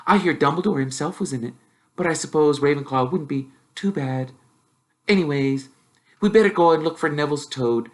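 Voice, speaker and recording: A man voicing a bossy, know-it-all young girl witch who chatters in a prim, brisk, self-assured way. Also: fairly high pitch, pitched above the performer's own voice, close to an everyday voice. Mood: bossy, chatty.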